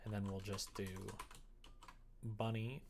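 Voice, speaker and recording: A man's voice: lowish voice, flat delivery, takes it slowly, a little mellow, slight background noise. A man streaming casually as he codes, thinking aloud and muttering to himself in a wry way. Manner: wry, muttering to self while working. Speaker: live streamer developing a visual novel. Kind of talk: casual coding livestream chat, thinking aloud.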